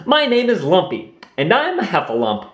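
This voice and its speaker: A man's voice: high voice, huge pitch swings, normal speed, roomy sound, voice pushed up. A man reading aloud and voicing a small, shy, playful young male heffalump in a storybook. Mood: cheerful. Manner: giggly, hesitant.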